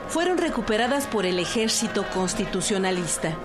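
A woman's voice: mid-range voice, sing-song, a little fast. A woman with a Mexican accent narrating history from a script in a radio docudrama. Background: music.

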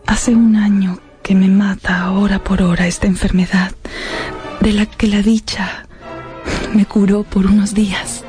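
Radio drama: actress as a frail young woman in love, melancholy, unhurried and subdued, music underneath. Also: mid-range voice, level tone, close to an everyday voice. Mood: anguished, dying. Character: María, sickly lovelorn young woman writing farewell letters. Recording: music behind the voice.

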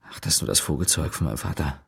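A friendly villager, radio play, husky voice, rambling, eager. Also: male voice, extremely low pitch, animated, very fast, exaggerated voice. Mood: chatty. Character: awkward friendly village man, Jo.

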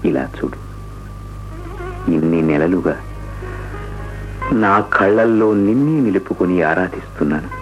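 An actor playing an ardent royal lover in a radio play, declaiming tenderly in florid poetic lines. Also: male voice, low voice, measured pace, long pauses, lo-fi sound, soft background music. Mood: adoring. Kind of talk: romantic radio play acting.

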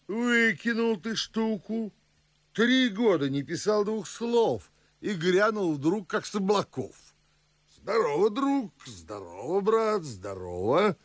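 An actor playing a blustering, fussy old gentleman and father in a verse radio drama, grumbling and exclaiming. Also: medium pitch, wildly swooping pitch, slow, dry recording, heavily acted. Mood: gruff, hearty surprise. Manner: grumbling, exclamatory, swooping delivery. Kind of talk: Russian classical verse radio drama acting.

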